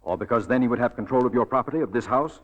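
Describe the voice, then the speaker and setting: An actor playing an earnest, insistent detective in 1940s radio drama style, with a clipped, commanding, deliberate delivery. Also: male voice, medium pitch, highs cut off, natural voice. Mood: urgent, revelatory. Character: earnest, insistent male detective, urgent and protective.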